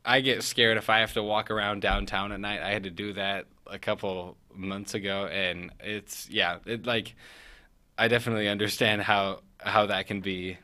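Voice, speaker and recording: A young man hosts a casual youth interview, his voice sitting low but with a bright, forceful edge. He jokes around and stumbles through questions, full of ums and restarts. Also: little pitch movement, normal speed. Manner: joking, hesitant with many fillers. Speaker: youth show host.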